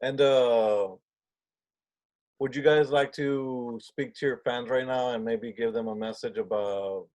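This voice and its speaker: A man's voice: mid-range voice, fairly even intonation, unhurried, a little mellow. Hesitant male podcast host. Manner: hesitant, many fillers and restarts. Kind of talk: casual interview.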